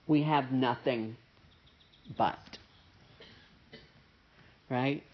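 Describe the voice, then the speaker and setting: A man's voice: medium pitch, extremely slow. A man preaching a sermon in a conversational way, with a nasal voice and many "uh" fillers and "right?" asides. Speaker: pastor. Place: church service with a pianist present. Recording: dull, muffled sermon recording.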